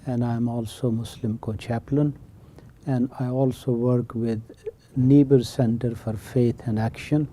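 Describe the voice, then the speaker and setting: An older man with a Pakistani accent, a professor explaining his views in an interview in an emphatic, deliberate manner. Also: mid-range voice, steady tone, mellow, a little hiss or hum. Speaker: professor of Islamic studies.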